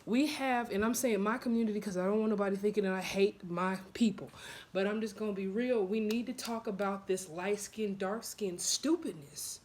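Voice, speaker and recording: A woman's voice: mid-range voice, moderate pace. A young woman speaking casually to camera in a blunt, chatty vlog. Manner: blunt, animated, chatty with laughs and asides. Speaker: vlogger.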